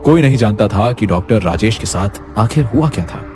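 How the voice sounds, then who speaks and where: Low-pitched male horror story narrator. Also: animated, normal speed, over music.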